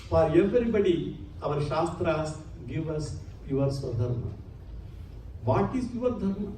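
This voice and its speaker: A man's voice: a little high, lively intonation, a little slow, dark tone. A man giving an earnest religious lecture to a congregation, using many Sanskrit terms. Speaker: spiritual lecturer. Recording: echoey hall, distant mic, faint hum.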